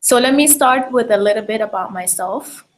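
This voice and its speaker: A young adult woman from South Sudan speaking to camera for a campaign, measured and unhurried, with frequent ums. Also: on the high side. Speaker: model and education advocate. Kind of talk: direct-to-camera campaign talk.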